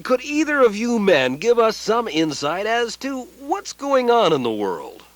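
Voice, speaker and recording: An actor playing a probing, theatrical panel show host in a radio satire. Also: male voice, sits high, wildly swooping pitch, unhurried, exaggerated voice. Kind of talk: parody panel discussion.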